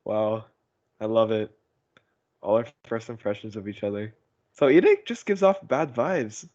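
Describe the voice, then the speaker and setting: A man's voice: lowish voice, animated, measured pace, darkish tone. A young man hosting a casual podcast over a voice call, teasing and slangy, prone to goofy guffawing. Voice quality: big goofy guffawing laugh. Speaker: podcast host. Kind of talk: casual joking podcast chat. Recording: dull, muffled voice-call sound.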